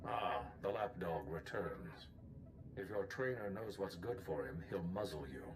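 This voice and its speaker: A menacing Jedi master from a video game, low in pitch and haughty. He speaks deliberately, with commanding contempt, over clearly noisy stream audio. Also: expressive. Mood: threatening. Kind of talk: cinematic video game voice acting.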